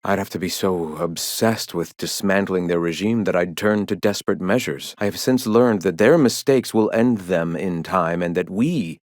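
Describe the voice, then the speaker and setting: A zealous rebel prophet, played by a male actor for a video game, with a fairly low male voice in a dry, close recording. He speaks in solemn, lofty phrases and preaches his philosophy with grandiose conviction. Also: normal speed, hardly any pauses, no room sound, close to an everyday voice. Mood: uneasy, reflective. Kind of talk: video game dialogue acting.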